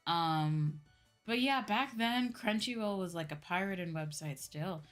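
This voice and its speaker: Young woman vtuber just chatting on stream, voice a little on the low side, conversational, music playing behind her. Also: measured pace. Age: young adult. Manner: conversational, often checks with "right?" and "you know". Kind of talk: casual livestream chat. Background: background music.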